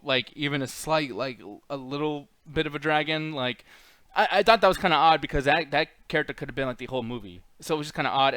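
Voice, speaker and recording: A man's voice: a little high, a bit bright, smooth, no echo. A man hosting a casual panel chat, arguing informally and swearing as he banters. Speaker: podcast host.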